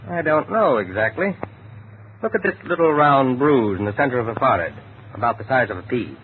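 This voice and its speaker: A thin, phone-like vintage recording with light noise carries an actor as a learned male doctor playing sleuth in a 1940s radio drama. He is composed and shrewd, laying out each deduction with measured deliberation at a middling pitch. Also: animated, lightly acted. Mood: composed, analytical. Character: calm, shrewd, educated male doctor turned amateur sleuth. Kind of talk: 1940s radio detective acting.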